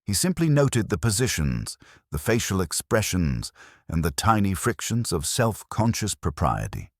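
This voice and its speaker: Very deep-voiced male mystery narrator. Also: big pitch swings, moderate pace, no echo, clean studio sound. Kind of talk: scripted narration of a mystery story.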